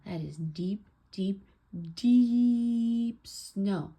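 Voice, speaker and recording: A woman's voice: fairly high pitch, wildly swooping pitch, normal speed, gravelly, darkish tone, breathy edge, pitched above the performer's own voice, exaggerated voice. A woman voicing a sensible, disapproving young sister in a children's storybook. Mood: firm warning.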